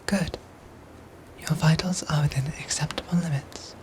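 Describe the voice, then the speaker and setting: A woman voicing a gentle, dutiful android soldier in an ASMR roleplay, with soft breathy whispers and formal, measured phrasing. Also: sits low, steady tone, lightly acted, poor audio. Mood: attentive, calm. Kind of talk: ASMR roleplay audio drama.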